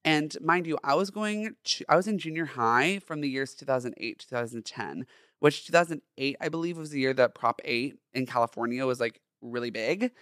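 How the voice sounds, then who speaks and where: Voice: medium pitch, moderate pace, a bit bright, dry recording, studio quality. A podcast host in their twenties with a feminine-sounding voice, talking casually and playfully in chatty, slangy, emphatic monologue. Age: 20s.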